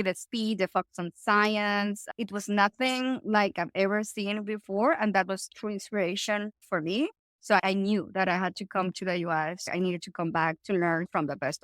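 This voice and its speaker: A woman with a Colombian Spanish accent, Spanish as her first language, tells her own story as a podcast guest. She talks in long, animated runs with casual asides. Her voice is mid-pitched and sits dry and close, with no room around it. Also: dry recording. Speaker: guest, startup founder.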